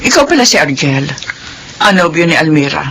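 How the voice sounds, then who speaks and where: A woman's voice: deep voice, big pitch swings, a little fast, over music. An actress playing a middle-aged mother betrayed by her children, in classic radio drama style. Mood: stern. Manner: sobbing, shouting anguish.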